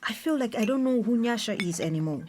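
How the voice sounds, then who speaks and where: A woman's voice: a little high, expressive, fast, a little mellow, slight background noise, lightly acted. An actress playing a troubled, traditional middle-aged mother in a radio drama, with a hesitant, resistant manner.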